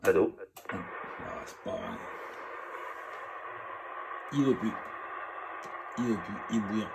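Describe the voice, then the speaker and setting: Man, low voice, distant from the mic, casual radio operator chat, hesitant, repetitive, apologetic. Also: slow, very noisy.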